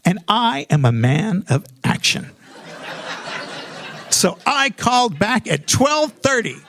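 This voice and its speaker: A man's voice: sits high, sing-song, slow pace, background noise. A middle-aged American man telling a personal story in a comedic, self-mocking way, animatedly acting out remembered dialogue.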